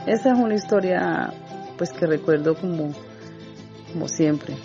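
A woman's voice: fairly low pitch, measured pace. A woman fondly recalls an old memory in a rambling, casual storytelling manner. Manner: fond, rambling recollection. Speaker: community radio participant. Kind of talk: reminiscing storytelling. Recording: dull lo-fi recording with guitar under.

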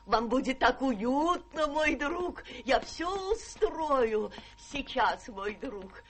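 A woman's voice: high voice, expressive, slow, slight background noise. An actress in a Russian radio play playing a devoted, fussy middle-aged lady companion, plaintive and fawning. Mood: fawning, anxious. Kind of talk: Russian theatrical radio drama acting.

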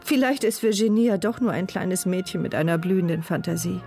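An actress playing a paralysed, observant young woman who narrates her inner thoughts in a measured, articulate radio drama monologue. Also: fairly low pitch, music in the background, natural voice. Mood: musing.

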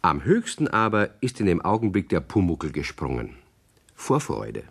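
Storybook narrator: adult man, lively intonation, slightly low pitch, scripted narration. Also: normal speed, gravelly, slight background noise, exaggerated voice. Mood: storytelling. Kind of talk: scripted narration for children's radio play.

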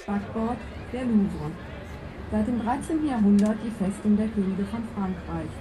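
An actress playing an informative sightseeing boat guide making announcements in a radio play. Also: female voice, medium pitch, normal speed, close to an everyday voice. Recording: noisy, with crowd ambience.

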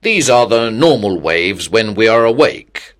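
Man playing a measured, puzzled doctor. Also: fairly low pitch, sing-song, fairly bright tone. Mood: puzzled, factual. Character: puzzled male sleep doctor explaining test results. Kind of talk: acted dialogue in an audio story.

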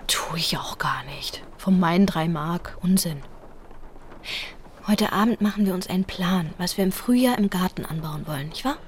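An actress playing a hopeful, devoted young working wife in a radio play, gentle and reassuring in manner. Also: female voice, on the low side, normal speed, slight background noise, natural voice. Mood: hopeful, tender. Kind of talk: German radio play acting.

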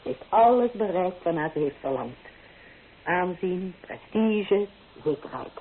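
A woman's voice: lowish voice, expressive, unhurried, phone-quality sound. An actress playing a practical, anxious middle-aged mother in a Dutch radio drama, genteel, soothing and admonishing. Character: practical, anxious, proper mother of a bride, conventional. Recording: hissy, band-limited old radio recording.

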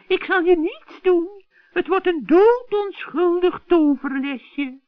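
A man voicing a sly old female witch in a children's radio play, coaxing with drawn-out words. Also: very high voice, dry recording, pitched above the performer's own voice. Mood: wheedling. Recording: dull vintage radio play recording.

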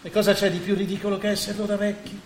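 A man's voice: high voice, level tone, fast talker. An actor playing a cynical, domineering businessman in a stage drama, condescending and persuasive in his delivery. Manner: smooth-talking, condescending, persuasive. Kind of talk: theatrical Italian stage acting. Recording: echoey stage hall, faint noise.

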